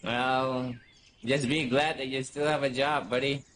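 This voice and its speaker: A man's voice: mid-range voice, fairly even intonation, measured pace, a bit bright, slight background noise. A middle-aged man chatting casually and wryly as he streams a game, rambling along. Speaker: gaming streamer. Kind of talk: casual chat over gameplay.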